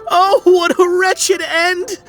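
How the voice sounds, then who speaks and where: Scared superstitious young villager in a game, high pleading voice, dramatic, bit of music behind. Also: female voice, level tone, slow, fairly bright tone, exaggerated voice. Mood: dread, panicked. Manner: pleading, melodramatic. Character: frightened, superstitious, melodramatic young townsperson fearing curse. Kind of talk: video game voice acting.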